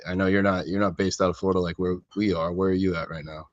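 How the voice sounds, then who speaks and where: A man's voice: low voice, little pitch movement, quick pace. A man hosting a podcast in a friendly way, steering the talk back on track with his guests. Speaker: podcast host. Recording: dull lo-fi audio.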